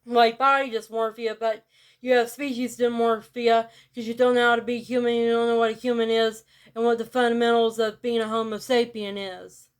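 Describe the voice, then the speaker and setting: A woman with a rural American country accent talking to camera in a blunt monologue, keeping her delivery flat and robot-like on purpose. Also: fairly high pitch, moderate pace, clear, resonant tone. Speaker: vlogger. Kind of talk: casual direct-to-camera monologue.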